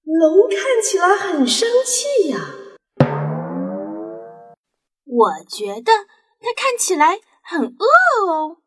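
A woman playing a curious, excitable and unafraid little princess in a children's radio drama. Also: very high voice, slow, full character voice. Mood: curious, thoughtful. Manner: wide-eyed, exclaiming. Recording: music behind the voice.

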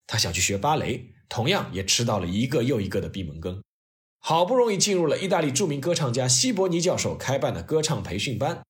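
A man tells a scripted story as a narrator. His mid-pitched voice moves widely up and down in intonation. The delivery is expressive and conversational, in the manner of a storyteller. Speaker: host narrator of history stories.

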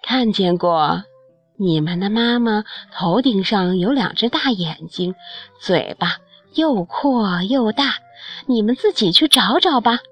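A woman voicing a kindly, matter-of-fact mother duck who gently explains in a children's storybook. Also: medium pitch, lively intonation, slow, husky, muffled recording, faint music behind, full character voice.

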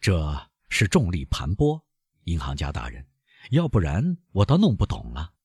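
A man voicing a cold, calm male bandit chief, husky, low and quiet, flat and unmoved. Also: moderate pace, dry recording, clean studio sound, exaggerated voice. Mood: mocking.

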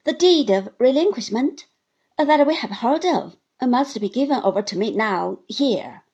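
Calm young lawyer in a storybook reading, firm and measured, put on by a woman narrator. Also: on the high side, breathy edge, dull audio, heavily acted.

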